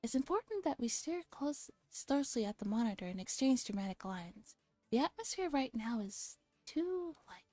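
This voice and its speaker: A woman's voice: on the high side, big pitch swings, normal speed, darkish tone, dry recording, faint music behind. A woman voicing a grandiose, paranoid young man who calls himself a mad scientist, with pompous theatrical delivery, for a visual novel.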